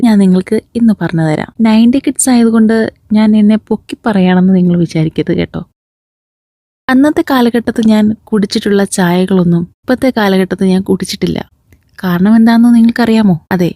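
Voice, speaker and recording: A woman's voice: mid-range voice, mellow, no room sound, studio quality. A woman narrates a recipe vlog, chatting to viewers and often putting questions to them. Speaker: cooking vlogger.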